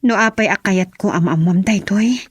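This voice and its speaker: A woman's voice: mid-range voice, moderate pace, husky, no echo, lightly acted. An actress playing a sorrowful, wronged middle-aged mother in a melodramatic radio drama. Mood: sad, resentful.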